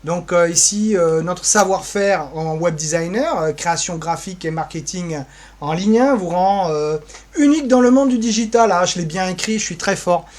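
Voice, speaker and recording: A man's voice: high-pitched, normal speed. A man giving an informal website walkthrough, hesitant and rambling, with playful asides. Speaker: presenter.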